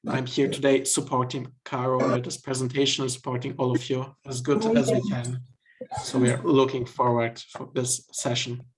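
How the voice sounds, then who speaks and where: A man from Turkey introducing himself on a video call as co-host, speaking in a polite, measured, slightly halting way. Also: mid-range voice, little pitch movement, rough recording. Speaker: event co-host. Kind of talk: self-introduction on a webinar.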